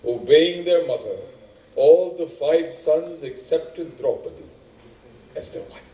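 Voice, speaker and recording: Stage play: learned storyteller, wry and moralizing, soft mellow tone, distant echoey pickup. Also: male voice, fairly high pitch. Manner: deliberate, emphatic, repeats phrases for effect. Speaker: stage actor in a two-hander play. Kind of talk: theatrical storytelling of epic tales.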